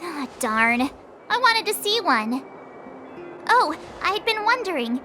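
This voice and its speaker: A woman's voice: very high-pitched, sing-song, takes it slowly, over music, exaggerated voice. A woman voicing a perky, curious young girl adventurer in a video game, bubbly, casual and outspoken. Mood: chatty, puzzled.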